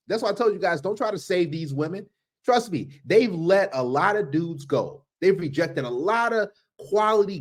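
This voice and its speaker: A man hosting a reaction commentary, ranting emphatically and repeating himself. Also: on the high side. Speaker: commentary channel host.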